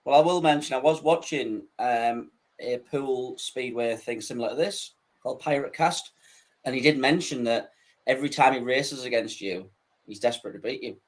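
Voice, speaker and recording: A male host of a live online talk show, with a mid-pitched voice. He moves the chat along with teasing banter and jokes at his guest. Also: normal speed. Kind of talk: casual live interview.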